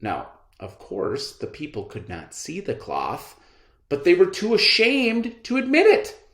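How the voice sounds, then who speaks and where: A man reading a storybook aloud in a deliberate, theatrical narrating style. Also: high-pitched, huge pitch swings. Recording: roomy echo.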